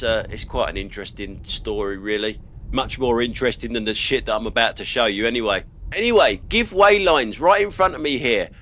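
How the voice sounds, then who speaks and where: A man's voice: medium pitch, a bit bright. A middle-aged British man with a stuffy nose from a cold, giving blunt, grumbling commentary on other drivers from inside his car. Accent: British English. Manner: blunt and grumbling, swears casually. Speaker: dash cam vlogger. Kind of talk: casual driving commentary.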